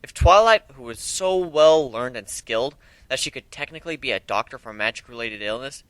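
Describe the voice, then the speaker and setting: A young man reads a story aloud as an amateur dramatic narrator. His voice is mid-pitched, bright and forceful. The recording is dry, with little room sound. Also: lively intonation, fairly quick, smooth, dry recording, rough recording.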